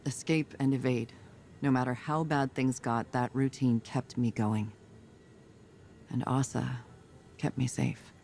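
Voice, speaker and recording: Gravelly, battle-worn soldier. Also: male voice, mid-range voice, steady tone, a little slow, pauses often, a little mellow, a little hiss or hum. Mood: reflective. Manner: terse, reflective. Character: restless, battle-worn male veteran soldier. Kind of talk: game cutscene monologue.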